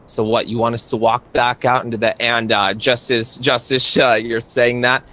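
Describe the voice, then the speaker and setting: A man narrating a tabletop game off the cuff as game master, halting and repeating phrases. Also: level tone, pushed and bright. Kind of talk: casual improvised tabletop narration. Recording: noisy live stream with low rumble.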